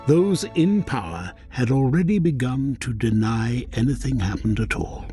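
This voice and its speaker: A man's voice: medium pitch, animated, normal speed. A man narrating a biblical audio drama in a solemn, scripted, dramatic style. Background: loud music.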